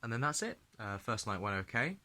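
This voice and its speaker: A man's voice: lowish voice, big pitch swings, fairly quick, bright, forceful tone. A young man recording a casual video diary, chatty and trailing off between thoughts. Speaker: vlogger.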